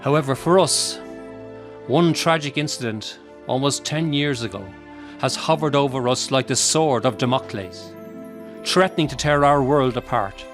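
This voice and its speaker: A man's voice: mid-range voice, normal speed, lightly acted. A middle-of-the-road male voice with an Irish accent , an actor's: a weary circus manager in a radio play. He is patient but strained, with music under him. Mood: sombre narrating. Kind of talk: radio drama acting with narration.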